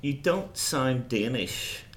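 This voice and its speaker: A man hosting a conference podcast, friendly and curious as he puts questions to his guests. His voice sits at an ordinary middle pitch. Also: expressive, slow pace, fairly bright tone. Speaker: podcast host.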